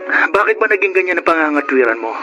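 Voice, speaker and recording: A man's voice: a little high, very animated, fast, music in the background, rough recording. A man playing a concerned friend, earnest and challenging, in a Tagalog radio drama. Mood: concerned, reproachful. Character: concerned, reasoning male friend of a troubled man.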